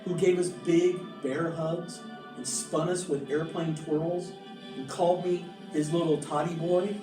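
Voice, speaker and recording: A man reading a picture book aloud in a measured, expressive storytelling manner, with deliberate pauses. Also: fairly high pitch. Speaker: storybook reader. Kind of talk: scripted picture-book narration read aloud. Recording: roomy echo, distant mic, music behind. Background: music.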